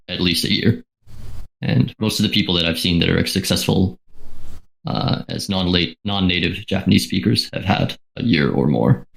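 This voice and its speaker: A man on a podcast panel calmly explaining advice about working in animation. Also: low-pitched, vocal fry, poor audio. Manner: measured, explanatory, occasional "uh". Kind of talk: casual panel chat.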